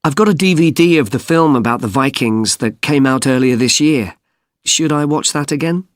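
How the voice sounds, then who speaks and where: A man's voice: medium pitch, normal speed, dry recording, studio quality, lightly acted. A man playing an attentive student who politely seeks guidance in a scripted listening-test dialogue. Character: attentive male student seeking guidance from tutor.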